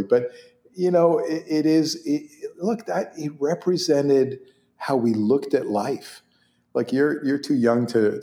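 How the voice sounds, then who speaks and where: Hebrew-speaking older man telling stories in an echoey room. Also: mid-range voice. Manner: animated, laughs often, many restarts. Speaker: interview guest, orthopedic surgeon. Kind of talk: conversational storytelling.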